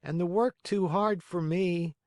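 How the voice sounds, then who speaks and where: A man voicing a whiny, eager marionette boy in a storybook, with a high childlike voice and pleading, dramatic delivery. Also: unhurried, a little mellow, dry recording, clean studio sound. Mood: whining. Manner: pleading, emphatic, exclaiming. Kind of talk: audiobook character acting.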